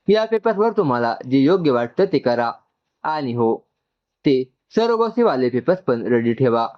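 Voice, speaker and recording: A man's voice: medium pitch, muffled recording, close to an everyday voice. Man playing a formal, businesslike doctor.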